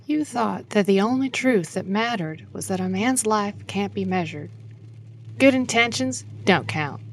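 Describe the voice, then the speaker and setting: A woman's voice: medium pitch, expressive, measured pace, a little hiss or hum. A woman playing an older wife and grieving mother, frank and consoling, in an audio drama. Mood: sombre, consoling.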